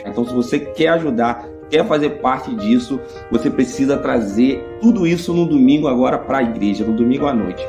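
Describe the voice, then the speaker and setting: A man's voice: mid-range voice, highs cut off. A man giving a church announcement in an earnest, rallying way.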